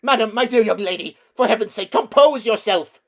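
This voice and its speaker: A man voicing a cowardly, whining old gentleman in a storybook, trembling and wailing with pompous protest. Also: high-pitched, brisk, pitched above the performer's own voice. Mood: panicked pleading. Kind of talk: storybook character reading.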